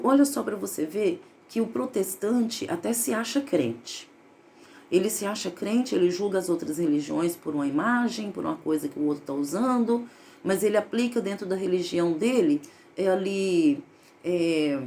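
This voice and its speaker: A woman speaks, her voice low, dark and full of fry. She holds forth in an emphatic, opinionated monologue that wanders from point to point, talking from a room at home. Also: slight background noise. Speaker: spiritualist vlogger. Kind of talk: casual spiritual monologue.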